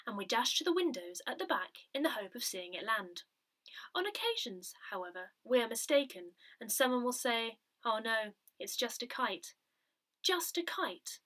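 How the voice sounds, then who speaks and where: A woman reading written articles aloud in a measured, clearly phrased way, as for an audio newsletter. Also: on the high side, fairly bright tone. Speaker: newsletter reader.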